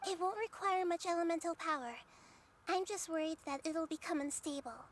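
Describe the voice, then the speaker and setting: A woman voicing a small, gentle, wise child-like deity in a video game, speaking thoughtfully and earnestly in measured, articulate phrases. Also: extremely high pitch. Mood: resolute.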